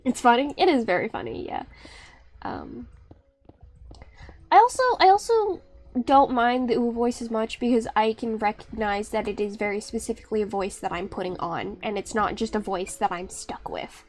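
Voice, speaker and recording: A woman's voice: lively intonation, normal speed, a little mellow. A young woman streamer chatting casually on a live stream, rambling and restarting her sentences, often breaking into laughter. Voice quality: high-pitched.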